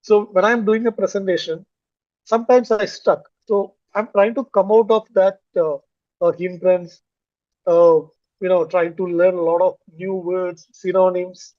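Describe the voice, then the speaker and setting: A man from Kerala with a Malayali Indian English accent, talking haltingly with many fillers and restarts about learning English. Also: sits high, fairly even intonation, darkish tone, no room sound, dull audio. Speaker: English learner, finance manager. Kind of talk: casual conversation on a video call.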